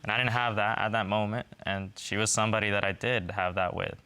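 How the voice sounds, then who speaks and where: Low, bright, halting young American man. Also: steady tone, clean tone. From Texas, United States. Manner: halting, defensive. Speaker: litigant on a TV court show. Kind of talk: conversational self-defence. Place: TV studio courtroom.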